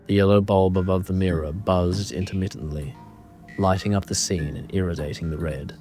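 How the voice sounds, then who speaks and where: A man narrating a horror audio drama in a grave, deliberate, dramatic way, reading ornate scripted prose. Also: sits low, fairly even intonation, mellow, faint music behind.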